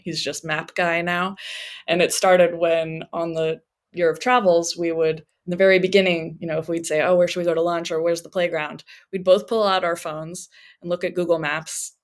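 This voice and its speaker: A woman speaking as an interview guest, telling personal stories conversationally and voicing remembered remarks as she goes. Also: fairly low pitch. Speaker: interview guest, travel memoir author.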